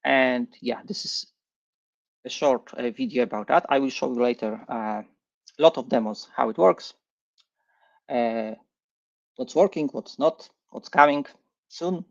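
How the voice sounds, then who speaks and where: A middle-aged man with a Polish accent gives a live tech presentation, speaking haltingly and repeating words. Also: mid-range voice, vocal fry, lo-fi sound. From Poland. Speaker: guest presenter. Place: online livestream session.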